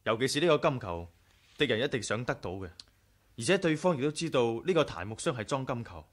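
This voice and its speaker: An actor with a Hong Kong Cantonese accent playing a loyal, cautious aide, earnest and courteous, in a 1980s radio drama. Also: male voice, medium pitch, big pitch swings, a little fast. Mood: cautious, urgent. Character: loyal, cautious male aide, devoted to his leader.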